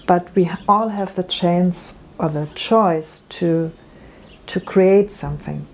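Mellow-voiced woman explaining earnestly. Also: on the low side, slow pace, a little hiss or hum, low-quality recording. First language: German. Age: middle-aged. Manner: earnest, explanatory, with frequent restarts. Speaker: natural medicine practitioner. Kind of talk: interview answers. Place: room with bookshelves.

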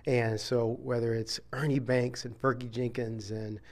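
A middle-aged man talks in a live TV interview in a hotel room, into a reporter's handheld mic. He answers relaxed and reflective, pausing with fillers, in a middle-pitched voice. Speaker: sports team executive interviewed. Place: hotel convention room, indoors.

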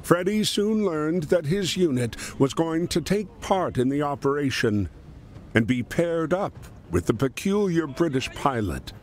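A man narrating a wartime history game in a solemn, measured, dramatic storytelling style. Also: a little high, very animated, slight background noise. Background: music.

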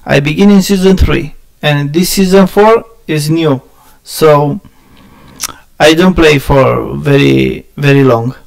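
A man from Romania with a Romanian accent chatting casually with viewers on a game stream, halting and searching for words, often laughing at himself. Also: on the high side, creaky. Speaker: gaming streamer.

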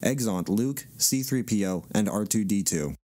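A man's voice: lowish voice, lively intonation, normal speed, dark tone, dry recording, studio quality. A man narrating stage directions in a plain scripted reading.

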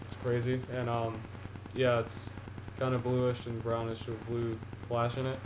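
A teenage boy talking casually about his fishing baits from a couch, filling the gaps with ums and restarting his sentences. His voice stays level and flat, of middling pitch. The small room bounces the sound back, and a steady hiss sits underneath. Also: unhurried. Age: teens. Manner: rambling, many ums and restarts, enthusiastic about gear. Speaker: fishing vlogger. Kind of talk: casual gear haul video. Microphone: camera on the floor in front of him. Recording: echoey room with a steady noise floor.

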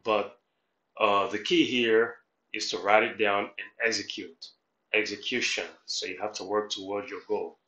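A man's voice: fairly low pitch, fairly even intonation, a little slow, bright, forceful tone, muffled recording. A middle-aged man from Haiti giving motivational commentary as a life coach, instructive and repetitive, often checking in with the listener. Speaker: life coach, reaction host. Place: small room at home, indoors. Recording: roomy echo.

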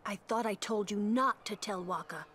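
Woman acting as cool adult female mage, stern, measured, firm, video game dialogue, slight background noise. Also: mid-range voice, fairly bright tone, natural voice. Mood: stern warning.

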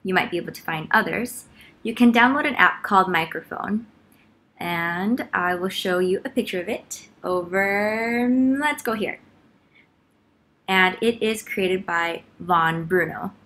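A woman's voice: lowish voice, a little slow, sharp tone. A young adult woman talking casually and informally to camera in a living room, giving a chatty how-to as a vlogger. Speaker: vlogger and teacher. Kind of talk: casual tutorial talk to camera. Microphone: laptop mic at about arm's length.

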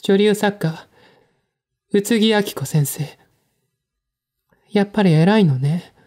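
A man's voice: high-pitched, slow, a little mellow, voice pushed up. A man voicing a theatrical, hysterical woman making pointed, taunting accusations in a dramatized mystery reading.

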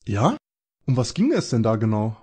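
A man acts out a young detective who fancies himself a ladies' man. His delivery is animated and swoops freely, in the manner of a parody audio play. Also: fairly low pitch, normal speed, slightly rough, heavily acted. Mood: businesslike. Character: young male detective Peter, cocky would-be ladies' man.

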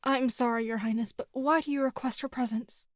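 A woman's voice: fairly high pitch, fairly even intonation, a little fast, no echo, studio quality, lightly acted. A woman playing a timid, apologetic young palace maid in an audio drama, meek and deferential. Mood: meek, anxious.

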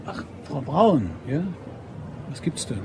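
An actor playing a shifty, smooth-talking man who plots a robbery, speaking casually and tersely in a German crime radio play. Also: medium pitch, sing-song, slow pace, background noise, heavily acted. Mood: evasive.

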